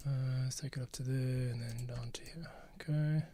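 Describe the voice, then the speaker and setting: A man's voice: mid-range voice, steady tone, moderate pace, warm tone. A man thinking aloud in an informal way as he edits audio, often muttering to himself. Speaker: podcast producer and audio editor. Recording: noisy room with keyboard clicks. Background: typing, mouse clicks, audio being edited.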